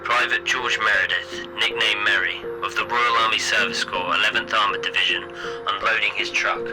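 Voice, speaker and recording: An actor playing a terse military radio operator in an audio play, giving formal, deadpan reports through a radio effect. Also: male voice, fairly low pitch, moderate pace, music in the background, poor audio. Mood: terse, official.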